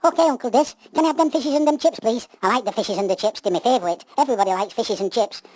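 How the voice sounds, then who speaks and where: Woman doing eager lil boy bulldog superhero for kids panto, sweet n loving, highish voice. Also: fast, a little mellow, no echo, natural voice. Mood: eager, hungry. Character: eager, affectionate young male bulldog superstar rescuer. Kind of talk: children's pantomime storytelling.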